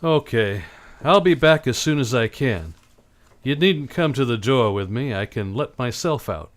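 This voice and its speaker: An actor playing a cynical, wisecracking private detective in an old-time radio play, blunt, wry and clipped. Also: male voice, medium pitch, expressive, a little slow, close to an everyday voice. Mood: blunt, probing.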